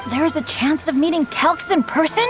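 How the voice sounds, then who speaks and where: A woman's voice: high-pitched, moderate pace, lightly acted. A woman playing a polite, bookish teenage young master in a video game, with courteous, eloquent and slightly formal speech. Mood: delighted. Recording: background music under the voice.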